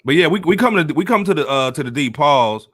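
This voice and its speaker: A man's voice: a little high, expressive, quick pace. A man hosting a hip-hop podcast in casual, slang-heavy chat. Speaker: podcast host.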